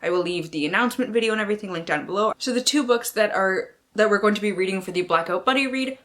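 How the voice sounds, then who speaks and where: A woman's voice: medium pitch, fast, a bit bright. A woman book vlogger chatting casually and reading blurbs aloud in a playful, theatrical way, with slang and free swearing.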